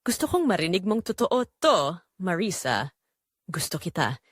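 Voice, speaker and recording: A woman's voice: on the low side, very animated, measured pace, no room sound, studio quality. A woman voicing a flirtatious, persistent male online suitor, coaxing and romantic, in an audio drama. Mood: longing.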